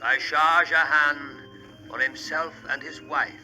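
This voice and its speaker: An actor playing a solemn, grandiose television travelogue narrator in a 1940s style, declamatory and deliberate. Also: male voice, fairly high pitch, steady tone, noisy background. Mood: solemn lecturing.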